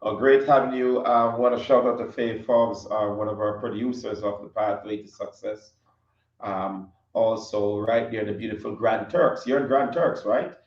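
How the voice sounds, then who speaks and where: Halting male host, roomy and distant. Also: on the low side, steady tone. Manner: halting, with restarts. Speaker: show host. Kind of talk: interview hosting. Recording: dull, roomy audio from afar.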